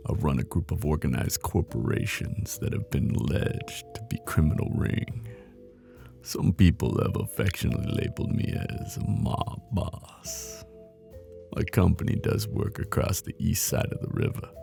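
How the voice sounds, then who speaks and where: A man playing a flirty, menacing mob boss in an intimate ASMR roleplay, with a teasing, cocky drawl and a deep, gravelly voice. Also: big pitch swings, normal speed, over music, heavily acted. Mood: smug, businesslike.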